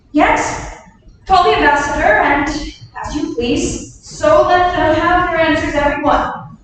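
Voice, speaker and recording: A woman acting a pious, bashful boy king in a stage reading of Shakespeare. The voice is very high, slow and earnest, set far back in a heavily echoing hall. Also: a bit bright, heavily acted. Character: pious, bashful, peace-loving young king of England. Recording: distant mic, very echoey hall, faint noise.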